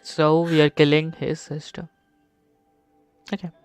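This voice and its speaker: A man's voice: a little high, little pitch movement, unhurried, soft background music. A young man with an Indian accent giving casual live-stream gaming commentary into a close microphone.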